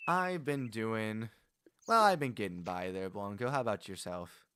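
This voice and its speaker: A man's voice: on the low side, animated, normal speed, bright, forceful tone, smooth, dry recording. A man casually chatting with his viewers while playing a game on a livestream, rambling and laughing as he goes. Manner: chatty, rambling, frequent restarts and laughs, talks to viewers by name. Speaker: game streamer.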